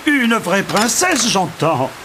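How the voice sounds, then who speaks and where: A man playing a fussy, good-natured and hesitant king in an animated fairy tale. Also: high voice, slow pace, background noise, full character voice. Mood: eager, fussy. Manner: hesitant, dithering, mildly grumbling.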